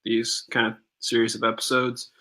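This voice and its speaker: A young man co-hosting a podcast live stream, relaxed, telling a story with many fillers and pauses. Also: mid-range voice. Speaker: podcast co-host.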